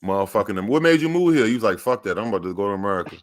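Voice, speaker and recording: Give me a fast-talking man hosting a stream with loose slang banter. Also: fairly low pitch, expressive. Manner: street slang, heavy swearing, quick banter. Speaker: streamer host. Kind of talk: casual chat.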